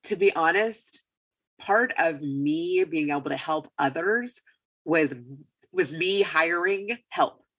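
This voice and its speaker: A woman with a raspy voice, getting over a cold, speaking as an upbeat, emphatic guest in a casual podcast interview. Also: low-pitched, animated, slow, fairly bright tone.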